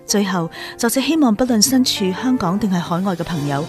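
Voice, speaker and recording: A woman's voice: mid-range voice, animated, brisk, music in the background. A woman with a Hong Kong Cantonese accent reading a scripted narration as a narrator. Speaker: narrator of the author's note.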